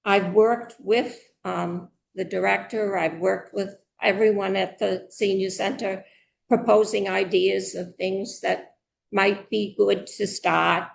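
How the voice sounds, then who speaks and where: An older woman from Massachusetts introducing herself at a public meeting in a measured, deliberate way. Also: medium pitch, fairly even intonation, creaky, slight background noise, rough recording. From Belmont, Massachusetts, US. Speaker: retired teacher, committee applicant. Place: indoor public meeting room.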